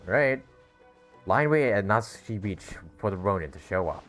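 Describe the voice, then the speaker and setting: A man's voice: lowish voice, very animated, moderate pace, a bit bright, faint music behind. A man giving casual gameplay commentary, reacting and talking along as he plays. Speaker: gamer streamer.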